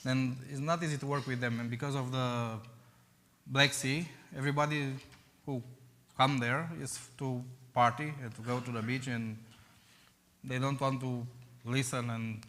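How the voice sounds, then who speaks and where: A Romanian man speaking halting non-native English with pauses as a missionary, addressing a church. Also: mid-range voice, steady tone, a bit bright, long reverb tail. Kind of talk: church presentation. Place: church sanctuary.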